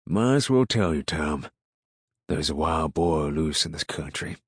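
A man voicing a gruff, ill-tempered rural farmer in an audiobook, grumbling in folksy, ungrammatical country speech. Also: a bass voice, animated, measured pace, no room sound, clean studio sound, exaggerated voice. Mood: indignant. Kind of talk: audiobook character dialogue.

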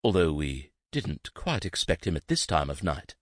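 A man's voice: a bass voice, very animated, normal speed, a bit bright, dry recording, clean studio sound, full character voice. A man voicing a polite, formal young officer of the court. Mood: courteous.

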